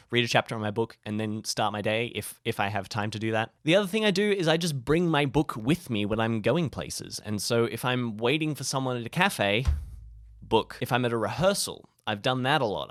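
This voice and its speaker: A man's voice: medium pitch, fairly bright tone, no echo, studio quality. A man giving casual advice to camera in a playful, self-deprecating way with comic asides. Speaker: YouTube creator sharing tips.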